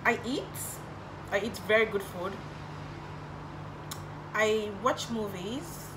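A young Nigerian woman with Igbo as her first language talks slowly and chattily in her bedroom vlog. She answers questions from a camera set in front of her. Clear noise from passing traffic runs behind her voice. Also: mid-range voice. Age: young adult. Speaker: YouTuber. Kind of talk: casual get-to-know-me vlog. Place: bedroom, indoors. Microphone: camera in front at desk distance.

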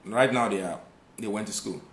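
A man in his thirties from Nigeria with a Nigerian accent, hosting a live stream, reading out viewer comments, thanking viewers and speaking with lively conviction when making a point. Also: fairly low pitch, a little fast. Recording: echoey room.

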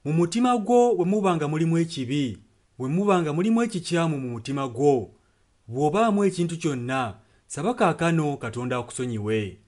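A man's voice: a little high, expressive, fairly quick, a little mellow. A Ugandan man interpreting a sermon earnestly, phrase by phrase. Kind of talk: consecutive sermon translation. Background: music.

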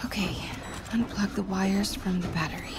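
A woman's voice: medium pitch, steady tone, moderate pace, noisy background, natural voice. A young woman playing a plucky, determined game heroine, talking practically and half to herself. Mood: focused. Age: young adult. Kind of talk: naturalistic video game acting.

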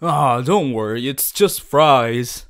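A man playing a young, cheerful aspiring superhero student in an audio roleplay, earnest and goofy, with booming theatrical boasts and hesitant asides. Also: expressive, slow, clear, resonant tone. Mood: delighted, flustered. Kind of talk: ASMR audio roleplay addressed to listener.

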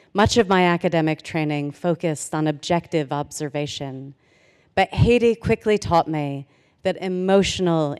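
Woman, 40s, commencement speaker at podium mic; smooth resonant voice, earnest with dry humor, long hall echo. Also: low-pitched, a little mellow. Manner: earnest, measured, with light humor. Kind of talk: formal commencement speech. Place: large hall, at a podium on stage. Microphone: podium microphone. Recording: reverberant auditorium sound.

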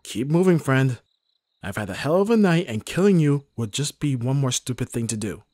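A man playing a gruff, protective young bodyguard in an ASMR roleplay, with a husky voice and a sardonic, intimate delivery. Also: mid-range voice, animated, normal speed, darkish tone, no room sound, studio quality, close to an everyday voice. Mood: urgent, commanding. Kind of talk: ASMR roleplay audio drama.

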